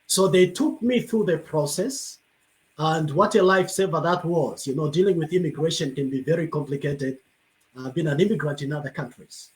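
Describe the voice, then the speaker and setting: Pastor from Zambia, man, panel guest; slightly high pitch, measured and thankful delivery over a video call. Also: rough recording. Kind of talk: panel conversation answer.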